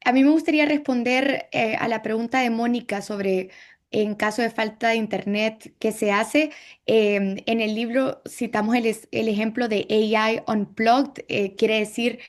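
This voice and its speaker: Co-author on a panel, woman with a slightly high voice, plain explanatory tone. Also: fairly even intonation, unhurried. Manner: explanatory, with fillers. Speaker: book co-author. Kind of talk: panel answer.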